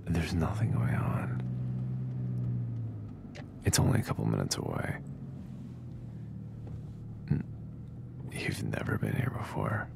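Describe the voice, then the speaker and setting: Very deep, whispering man acting as a tender boyfriend. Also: animated, slow, long pauses, full character voice. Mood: reassuring, teasing. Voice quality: breathy whisper, gravelly. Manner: soft, teasing, murmured. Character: young man sneaking his date out, teasing, tender, reassuring. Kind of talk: intimate ASMR roleplay.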